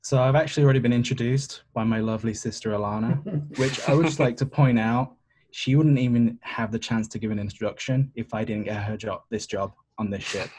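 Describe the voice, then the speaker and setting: A man's voice: medium pitch, fairly even intonation, a little fast, darkish tone, a little hiss or hum. A man in his thirties giving a cocky, teasing in-character introduction at an online tabletop game. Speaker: player. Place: indoors at home, online video call.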